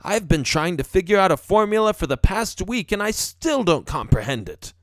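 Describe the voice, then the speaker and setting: A man's voice: sits high, sing-song, moderate pace, a bit bright, no room sound, studio quality, pitched above the performer's own voice. A man voicing a storybook lab rat professor, earnest, fretful and fatherly, with an emphatic delivery. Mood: fatherly, reassuring. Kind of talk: storybook character voice.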